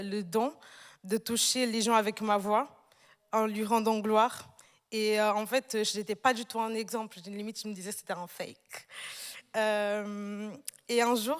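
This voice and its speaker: A woman in her twenties from Portugal giving a personal church testimony on stage, hesitant, with many pauses and fillers. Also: on the high side, in a large hall. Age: 20s. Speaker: newly baptized church member. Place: large church hall.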